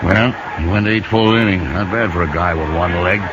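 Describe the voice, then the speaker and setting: Deep-voiced folksy baseball storyteller played by a man, old radio. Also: a little fast, natural voice. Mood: reflective. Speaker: narrator. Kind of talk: 1950s radio drama narration. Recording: noisy, muffled old radio recording.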